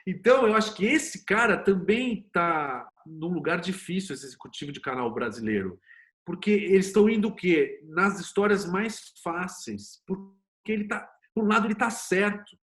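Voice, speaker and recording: Brazilian male interview guest, somewhat higher-pitched, informal and emphatic in casual conversation. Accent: Brazilian Portuguese. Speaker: interview guest, TV producer and screenwriter.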